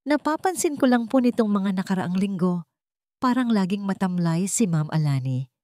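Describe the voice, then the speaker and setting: A woman's voice: mid-range voice, expressive, normal speed, warm tone, no room sound, close to an everyday voice. A woman narrator plays an elderly Filipino housemaid in a drama story. She speaks with a Filipino accent, hesitant, deferential and full of concern, in a dry recording. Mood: worried. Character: elderly housemaid, hesitant, respectful, sincerely worried.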